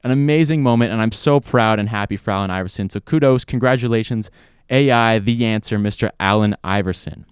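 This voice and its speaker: Young male host, eager sports chatter, smooth clean voice, dry studio sound. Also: fairly low pitch, a little fast, no room sound. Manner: enthusiastic, rambling. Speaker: podcast host. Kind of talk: casual sports talk.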